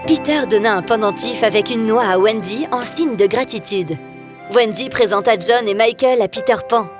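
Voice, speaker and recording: A woman's voice: a little high, moderate pace, music in the background. A woman reading a scripted fairy tale as a narrator for children.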